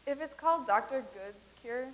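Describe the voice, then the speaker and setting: A woman's voice: sits high, expressive, moderate pace. An actress playing a matter-of-fact woman from the far future in a staged comedy reading.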